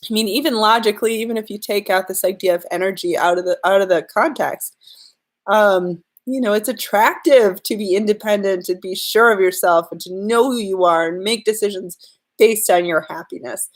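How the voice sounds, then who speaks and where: A woman's voice: medium pitch, moderate pace. A woman speaking with a slight cold, her voice a little off from it. She gives earnest, direct advice straight to the listener in a live stream, leaning on the key words for emphasis. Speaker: relationship and law-of-attraction coach.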